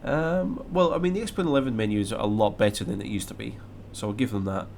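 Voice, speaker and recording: A man's voice: lowish voice, fast, vocal fry, darkish tone, slight background noise. A young Scottish man with a slight English mix to his accent, chatting casually on a live stream and reading out viewer questions, rambling with lots of fillers and restarts. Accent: Scottish, mixed with English. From Scotland. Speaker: flight sim live streamer.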